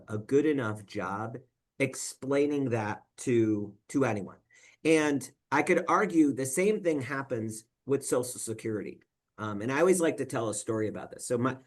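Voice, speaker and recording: A man of middle pitch giving an explanatory talk as a financial advisor. He speaks conversationally and works through examples one step at a time. He keeps checking in with his listeners with a quick "right?". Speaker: financial advisor presenting at a roundtable. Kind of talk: explanatory presentation.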